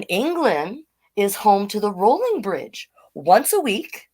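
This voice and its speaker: A woman hosting a children's storytime livestream, chatting casually and reading out facts, often getting sidetracked and correcting herself. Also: on the high side.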